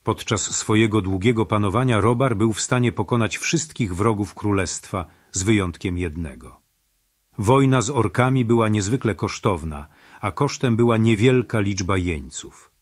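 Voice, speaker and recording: A man acts as a powerful mage telling a kingdom's history in a fantasy audio drama. The voice is on the low side and recorded dry, reading scripted narration. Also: normal speed, no echo, natural voice. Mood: solemn.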